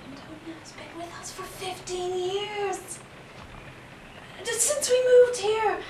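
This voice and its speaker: A woman's voice: extremely high pitch, animated, slow, a little mellow, full character voice. An actress playing a sensitive, principled woman in an audio play, speaking with earnest emotion. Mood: earnest, protective. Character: sensitive, principled woman protective of her elders. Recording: echoey, distant, faint noise.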